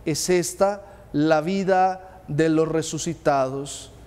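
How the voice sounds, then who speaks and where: A man in his thirties, a friar, preaching a homily in a small chapel, earnest, addressing listeners with direct questions and dropping low on key phrases. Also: slow pace, a little mellow, clear, resonant tone. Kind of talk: sermon. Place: small chapel with stained glass. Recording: long chapel reverb.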